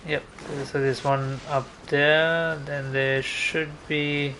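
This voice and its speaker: A man's voice: on the high side, little pitch movement, slow, sharp tone, a little hiss or hum, poor audio. A man giving casual gameplay commentary as a streamer, musing and thinking aloud to himself. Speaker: game streamer. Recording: game music behind the voice.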